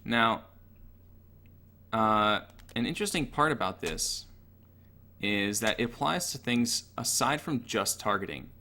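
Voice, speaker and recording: Bright, low-voiced man explaining game mechanics. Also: animated, measured pace, long pauses. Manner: matter-of-fact, analytical. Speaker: gaming tutorial narrator. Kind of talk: informal technical explanation over gameplay.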